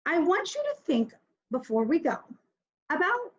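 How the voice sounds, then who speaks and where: A woman's voice: very high-pitched, big pitch swings, a little slow, lo-fi sound. A woman kindergarten teacher giving a recorded reading lesson, speaking simply and instructively straight to young children, with questions and thinking aloud.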